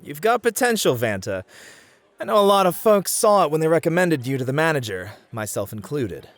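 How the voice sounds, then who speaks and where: A man acting in an ASMR roleplay as a smooth, confident, flirty club host who reassures and charms. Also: fairly high pitch, very animated, brisk, fairly bright tone, dry recording. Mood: reassuring, suave.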